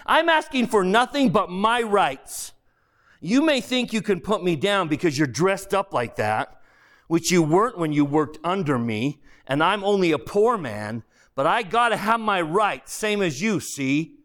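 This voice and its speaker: A man's voice: high voice, expressive, unhurried, a bit bright, voice pushed up. A man voicing the sulky, indignant ghost of a self-righteous working man in a storybook, blustering and aggrieved in slangy speech. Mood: aggrieved, insistent. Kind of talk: dramatised audiobook character voice.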